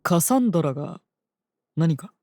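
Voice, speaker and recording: An actor playing a calm, very polite holy knight and devoted royal bodyguard in a fantasy audio drama. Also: mid-range voice, moderate pace, no room sound, clean studio sound. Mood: composed, courteous. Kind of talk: Japanese audio drama acting.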